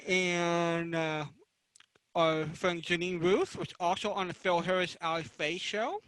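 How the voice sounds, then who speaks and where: High-pitched, bright-voiced man moderating. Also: unhurried, dry recording. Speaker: panel moderator. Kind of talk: unscripted panel introductions.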